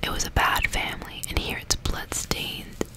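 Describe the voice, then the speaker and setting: A woman reading the part of a grim, vengeful old male general in a storybook, in a breathy, deliberate whisper. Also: natural voice. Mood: solemn.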